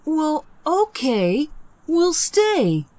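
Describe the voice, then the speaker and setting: Bratty seventh-grade boy, played by a female audiobook reader: mocking, brash, raised pitch, dry close sound. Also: very animated, drawn-out words, mellow, no echo, exaggerated voice. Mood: sly.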